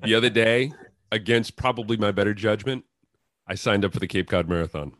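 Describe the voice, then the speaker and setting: A man's voice: on the low side, steady tone, a little fast, fairly bright tone. A man hosting a sports interview in a conversational style. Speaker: podcast host.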